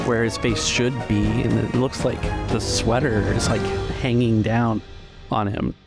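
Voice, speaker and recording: A man's voice: medium pitch, flat delivery, a little slow. A man acting as game master, improvising the narration of a tabletop roleplaying game. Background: music.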